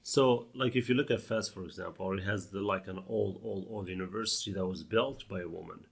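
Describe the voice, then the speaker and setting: A man from Morocco with a Moroccan accent, co-hosting a casual podcast chat in a halting way, searching for his words. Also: low voice, creaky, husky. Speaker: podcast co-host.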